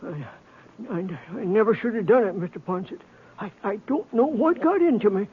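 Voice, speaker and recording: An actor playing a timid, henpecked older bank teller in a radio western, stammering nervously with a folksy American accent. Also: male voice, high voice, animated, normal speed, breathy edge. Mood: anxious, confessing. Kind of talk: old-time radio western acting. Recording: tinny, narrow-band old radio broadcast.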